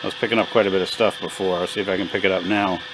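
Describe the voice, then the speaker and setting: A man giving a casual hands-on demonstration, thinking aloud in a halting, unhurried way. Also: low-pitched, fairly even intonation. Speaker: hobbyist radio repairer demonstrating equipment. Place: indoor home electronics workbench. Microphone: handheld camera mic. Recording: noisy handheld camera recording in workshop.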